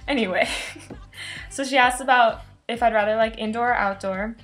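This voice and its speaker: A woman's voice: a little high, moderate pace, fairly bright tone, soft background music. A woman around twenty chatting casually to camera as a student vlogger, in an informal, rambling way, often interrupting herself. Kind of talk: casual chat to camera recounting an experience.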